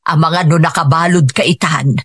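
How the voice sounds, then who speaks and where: Husky, worried older mother. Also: low-pitched, steady tone, moderate pace, no room sound, studio quality. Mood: chiding. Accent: Ilocano. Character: worried, practical Ilocano mother, nagging older wife. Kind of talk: emotive radio drama acting.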